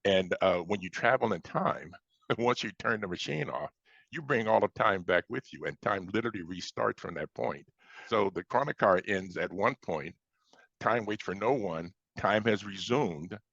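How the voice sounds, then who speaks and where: Very deep-voiced American man in a roomy recording. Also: animated, normal speed, vocal fry, fairly bright tone, husky. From Chicago, United States. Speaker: science fiction author being interviewed. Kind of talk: conversational interview. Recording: dull, roomy lo-fi sound.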